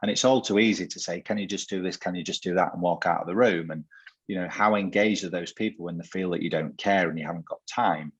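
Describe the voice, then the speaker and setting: A man's voice: low-pitched, quick pace, slightly rough. A man hosting a conversational interview, earnest, often repeating words as he builds a point. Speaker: host.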